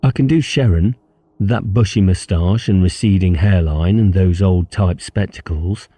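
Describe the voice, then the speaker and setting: A man's voice: deep voice, a little slow, dark tone, no echo. A man reading an audiobook as an easygoing, slightly sceptical schoolboy who replies in a casual, questioning way. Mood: casual, game. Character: easygoing schoolboy sidekick, sceptical but game.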